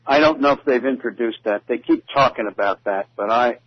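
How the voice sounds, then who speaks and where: Man, husky voice, mid pitch, political commentator, halting phrasing, dull dry radio sound. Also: no echo. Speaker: talk radio guest commentator. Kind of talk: casual political discussion.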